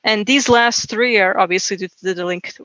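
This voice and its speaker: A podcast co-host whose first language is Serbo-Croatian talks in a loose, meandering way, leaning on fillers and tag questions. The voice is bright and forward. It sounds close and dry, with no room around it. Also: mid-range voice, moderate pace, dry recording, poor audio. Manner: rambling, filler-heavy, frequent "you know" and "right?". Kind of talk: casual folklore podcast chat.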